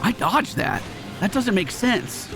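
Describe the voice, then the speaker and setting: Guy doing casual gaming commentary, super animated, kinda high voice, swears a bit, game music behind. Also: takes it slowly. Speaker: gaming video host.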